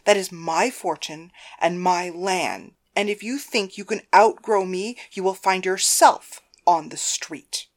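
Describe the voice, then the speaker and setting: A woman's voice: fairly low pitch, slow pace, hardly any pauses. A woman voicing a domineering, controlling wife with a stern, commanding manner in audiobook dialogue. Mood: cold anger. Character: domineering, controlling wife and mistress interrogating her husband.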